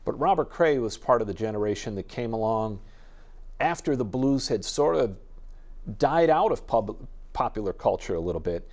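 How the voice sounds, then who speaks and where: A man's voice: mid-range voice, lively intonation, normal speed, a little mellow. A man speaking as a music expert guest in an informative, enthusiastic interview, explaining things at length in a discursive way. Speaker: radio jazz expert guest.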